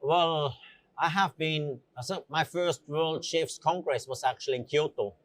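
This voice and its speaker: A man's voice: on the high side, fairly bright tone, dry recording. Middle-aged Swiss-born man, dry close sound. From Switzerland. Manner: halting, conversational, often says "you know". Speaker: chef, interview guest. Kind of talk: casual interview answers.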